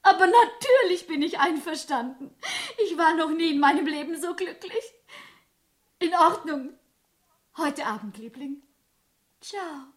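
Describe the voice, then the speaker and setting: An actress in a German radio play as an excitable, genteel bride-to-be, polite and somewhat condescending mistress of the house. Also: female voice, high-pitched, a little slow, long pauses. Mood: overjoyed.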